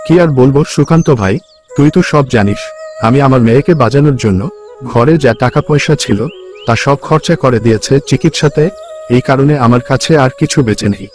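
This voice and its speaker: Cartoon casting: humble, hardworking village husband and farmer played by a male actor, earnest and plain-spoken, middling pitch, music underneath. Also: moderate pace, darkish tone, natural voice. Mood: downcast. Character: poor, hardworking, grateful married village farmer. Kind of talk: cartoon folk tale dialogue. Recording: music behind the voice.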